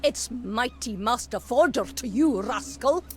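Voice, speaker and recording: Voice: medium pitch, lively intonation, measured pace, natural voice. An actor plays a haughty, crusty master from a fantasy video game. The Scottish accent comes with a pompous, chiding manner. There is faint noise behind the voice. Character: haughty, crusty master who scolds in dialect. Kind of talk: dramatic video game acting.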